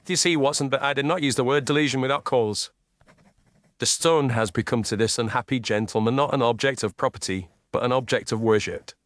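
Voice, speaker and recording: A man's voice: medium pitch, a little fast, no room sound, voice pushed up, lightly acted. A man playing a shrewd, self-assured Victorian detective with a precise, commanding, analytical delivery. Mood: cool, assured.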